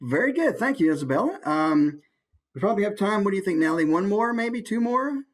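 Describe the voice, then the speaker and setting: A woman's voice: medium pitch, big pitch swings, a little fast, low-quality recording. A woman teaching a workshop, coaching a group casually in an encouraging way.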